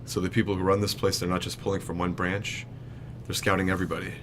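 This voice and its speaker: A man's voice: low voice, little pitch movement, fast, fairly bright tone, slight background noise. A man in his thirties playing an uneasy, inquisitive Navy officer newly recruited, hesitant and questioning, in an audio drama. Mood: curious. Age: 30s. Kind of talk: naturalistic audio drama acting.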